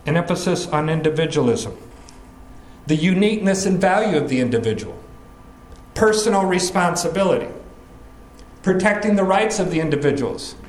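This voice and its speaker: A man preaching a sermon, explaining scripture in an earnest, measured teaching manner. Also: fairly high pitch, reverberant, poor audio. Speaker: pastor. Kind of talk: expository sermon.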